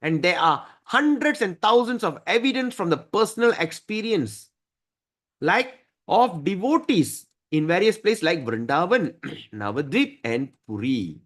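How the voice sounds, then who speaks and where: A man's voice: high voice, big pitch swings, unhurried, fairly bright tone. A man teaching a scripture study class, emphatic and often asking his listeners "right?".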